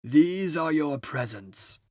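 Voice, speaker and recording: A man voicing a big, solemn, kindly old gift-giver in a storybook, with slow, booming, grand proclamations. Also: expressive, darkish tone, dry recording, clean studio sound.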